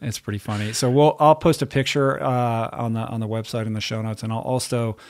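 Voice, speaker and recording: A man's voice: mid-range voice, steady tone, fairly quick, darkish tone. A man hosting a podcast, interviewing a guest in a casual, chatty way, filling his speech with "you know" and "like". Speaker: podcast host. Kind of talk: conversational interview.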